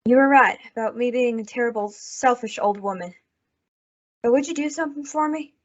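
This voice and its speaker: A woman's voice: a little high, brisk, close to an everyday voice. A woman playing a bitter, hard frontier widow in an old-time radio western, curt and rustic in manner. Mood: subdued, halting. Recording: dull lo-fi radio drama recording.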